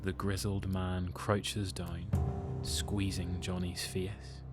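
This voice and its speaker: A man's voice: low voice, flat delivery, takes it slowly, over loud music. A man with a Northern Irish accent reading scripted narration for an audio drama.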